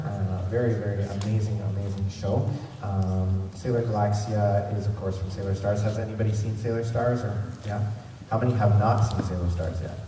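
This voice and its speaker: A man's voice: lowish voice, mellow. A man hosting a convention panel, giving an informal, rambling and enthusiastic fan presentation. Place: large convention centre room. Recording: echoey hall from far off, noisy. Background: room noise.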